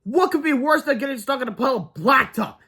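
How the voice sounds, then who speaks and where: A man voicing an excitable, bossy little schoolboy from a storybook, with urgent, exclamatory delivery. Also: very high voice, quick pace, breathy edge, voice pushed up, full character voice. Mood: eager. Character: excitable tiny schoolboy, bossy, hopeful, easily alarmed.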